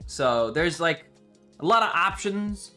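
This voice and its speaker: A man's voice: on the high side, expressive, takes it slowly, pushed and bright. A man chatting casually on a livestream, rambling enthusiastically with some casual swearing. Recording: music playing behind the voice. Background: music.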